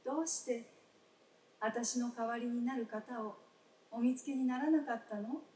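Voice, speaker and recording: A woman playing a frank, gentle wife in a radio drama, speaking in polite, old-fashioned feminine phrasing. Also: on the high side, fairly even intonation, moderate pace, lightly acted. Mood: tender reproach. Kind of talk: staged radio drama acting. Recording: roomy stage, distant mic, faint noise.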